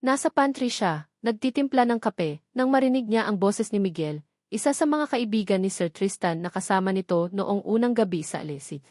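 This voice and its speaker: A woman's voice: medium pitch, animated, normal speed, a little mellow, no room sound, studio quality. A woman reading a scripted, suspenseful love story as narrator. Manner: dramatic, suspenseful.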